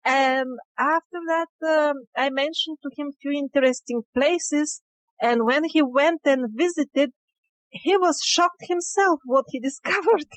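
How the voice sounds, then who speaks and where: A woman's voice: high voice, a little slow, dry recording, low-quality recording. A woman interview guest explaining her field findings conversationally, with emphatic but hesitant phrasing. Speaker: interview guest, independent researcher.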